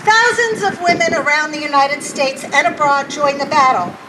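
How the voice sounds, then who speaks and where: A woman, a playwright, giving an animated public speech outdoors at a march, with a loud, carrying voice. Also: high voice, normal speed, crowd noise. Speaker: playwright and director speaking at a rally. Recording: distant mic with loud outdoor noise.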